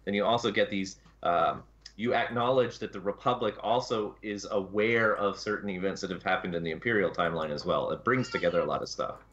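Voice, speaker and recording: Muffled lo-fi audio, low-pitched man explaining. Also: a little fast, fairly bright tone. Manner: explanatory, detailed. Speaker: show panelist. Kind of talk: casual gaming discussion. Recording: dull lo-fi voice chat audio.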